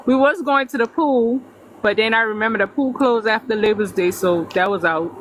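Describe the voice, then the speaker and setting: A woman in her forties from Charleston with a South Carolina accent, chatting casually in a relaxed, teasing way. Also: fairly high pitch, moderate pace, slight background noise, poor audio. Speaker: caller, single mother. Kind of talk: casual chat.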